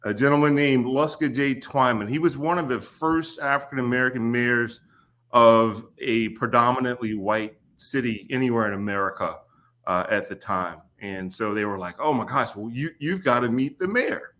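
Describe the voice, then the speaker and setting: An easygoing man in his forties who talks through a story at his own pace. He fills his pauses with small words and laughs readily. It sounds like an unhurried podcast conversation. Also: mid-range voice, fairly bright tone. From Kentucky. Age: 40s. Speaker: podcast guest.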